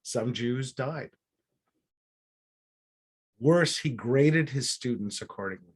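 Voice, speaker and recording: A man's voice: mid-range voice, slow pace, slightly rough. Middle-aged man telling a personal story. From born in Israel, grew up in Alberta, Canada. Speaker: guest speaker. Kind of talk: personal storytelling talk to an audience.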